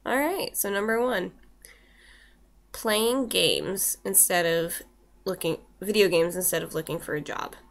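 A young woman with a gravelly edge to her voice talks casually into a built-in webcam mic in a small room. She sounds a little snuffly from a cold and tosses off wry, offhand comments as she works through a list. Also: fairly low pitch, lively intonation, unhurried. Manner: chatty, wry asides, trailing off with "whatever". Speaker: vlogger. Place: small room indoors with shelves.

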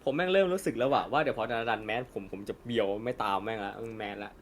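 A man's voice: mid-range voice, big pitch swings, fast, over a noisy crowd. A young man talking casually and informally as co-host of an anime discussion. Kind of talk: casual post-film chat.

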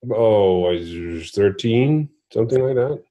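Husky, low-voiced man from Norway. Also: expressive, unhurried, warm tone, muffled recording. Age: 40s. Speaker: interview guest, startup investor. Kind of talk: casual interview chat.

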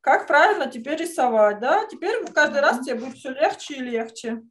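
A woman's voice: high-pitched, animated, fairly quick, a bit bright. A woman teaching children drawing in an online lesson, speaking in an encouraging way full of praise. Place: indoors, on a video call. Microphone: video call microphone.

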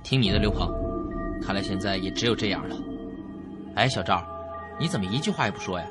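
A man's voice: lowish voice, lively intonation, quick pace, loud music behind the voice, lightly acted. A man playing a worried young traveller in a radio drama.

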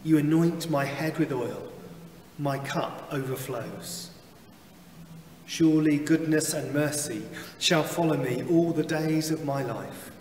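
A man in his thirties leads prayers and reads scripture in an unhurried, measured way. His voice sits a little high and carries a long reverb tail from the chapel. He is heard from a distance with faint room noise behind. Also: darkish tone. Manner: slow, measured liturgical delivery. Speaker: chaplain leading worship. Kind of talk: reading scripture and leading prayers. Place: small chapel with wood-panelled wall. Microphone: far camera, seated behind a lectern.

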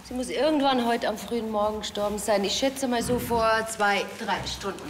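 An actress playing a brisk, no-nonsense forensic examiner. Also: female voice, on the high side, a little hiss or hum, close to an everyday voice. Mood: matter-of-fact.

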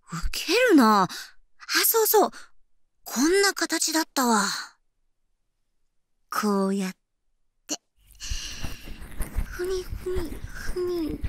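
A brash delinquent schoolgirl who loves to tease. Her female voice sits a little high and swings widely in pitch, with a breathy husk. She talks in rough, tomboyish slang, very close to the mic as in an ASMR drama. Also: no echo, full character voice. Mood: amused, teasing. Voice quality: husky, breathy. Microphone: close-up ASMR microphone.

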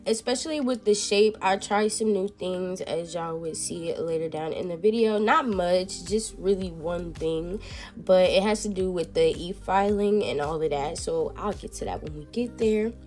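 A woman's voice: fairly low pitch, normal speed, darkish tone, faint music behind. A young woman with a congested, stuffy nose, chatting casually to viewers through a nail tutorial. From Texas. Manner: chatty, addresses viewers as y'all. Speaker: nail technician.

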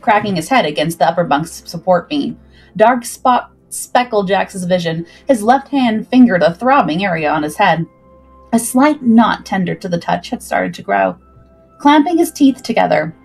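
A young woman reading a scripted story aloud in a dramatic, expressive way. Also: mid-range voice, vocal fry, husky, poor audio. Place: indoors at home, on webcam. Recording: music behind the voice.

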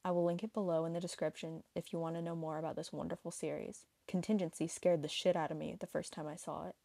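A woman's voice: deep voice, little pitch movement, fast, gravelly, warm tone, dry recording. A woman reading a scripted explainer narration for an online video.

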